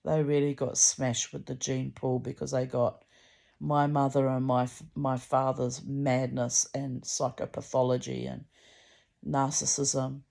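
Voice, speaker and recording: A middle-aged woman with a deep, gravelly voice, rambling candidly in a sweary, dramatic, confessional monologue. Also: flat delivery, unhurried, warm tone. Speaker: personal vlogger.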